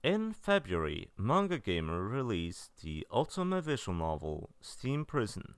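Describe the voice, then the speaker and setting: Guy doing review narration, lowish voice that goes up and down a lot, blunt, very dry sound. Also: huge pitch swings, unhurried, clean tone, no room sound. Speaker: video narrator reviewing visual novels. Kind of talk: semi-scripted review narration.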